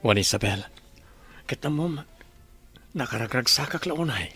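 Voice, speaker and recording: A man's voice: medium pitch, lively intonation, moderate pace, a bit bright, breathy edge, soft background music, full character voice. A man playing a devoted, earnest new husband in an Ilocano radio drama. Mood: tender, earnest.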